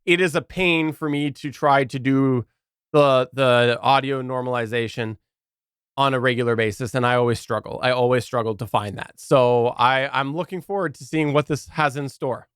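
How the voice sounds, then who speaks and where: A man co-hosting a casual podcast chat, with a loud, uneven delivery that jumps from near-mumbling to sudden bursts. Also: mid-range voice, moderate pace, clear, resonant tone, no echo, studio quality. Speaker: podcast co-host.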